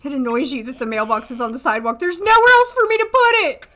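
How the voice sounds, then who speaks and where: A woman in her thirties, hoarse today, chatting casually and thinking aloud as she streams a life-sim game from a desk at home. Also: high voice, animated, fast talker, pushed and bright. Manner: chatty, thinking aloud, frequent little laughs. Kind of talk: casual gameplay commentary. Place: home room, seated at desk.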